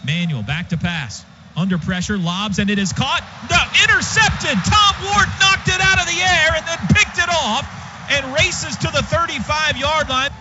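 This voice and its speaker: High-pitched man calling live football. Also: normal speed. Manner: clipped running description. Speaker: play-by-play announcer. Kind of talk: live radio football commentary. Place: stadium radio booth. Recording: dull radio broadcast, stadium noise behind. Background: clear crowd and stadium noise.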